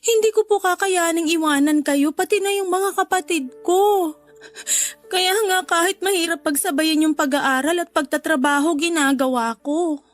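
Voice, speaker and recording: Woman doing really high pitched young daughter, worn out n tearful, filipino radio drama, bit of music behind. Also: normal speed, no echo. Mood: tearful, earnest. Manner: emotional, tearful pleading. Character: weary, hurt young daughter of a poor family. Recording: faint music under the voice.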